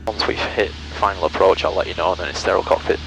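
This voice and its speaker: A man's voice: low-pitched, fast talker, gravelly, over music, poor audio. A man flying a small plane, giving methodical approach briefings and clipped radio calls over a headset. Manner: methodical, procedural, clipped aviation phrasing. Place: cockpit of a small plane in flight. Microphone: aviation headset. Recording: loud aircraft cabin noise through headset intercom.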